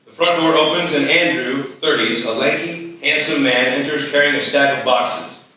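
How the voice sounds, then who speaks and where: A man's voice: a little high, moderate pace, bright, forceful tone, slight background noise. A man reading stage directions as scripted narration at a live screenplay table read. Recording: very echoey hall, distant mic.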